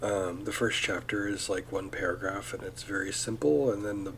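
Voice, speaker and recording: Low-voiced man rambling on his podcast. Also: steady tone, normal speed, fairly bright tone, slight background noise, rough recording. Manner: rambling, many ums and restarts. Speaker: podcast host. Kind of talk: casual solo podcast talk.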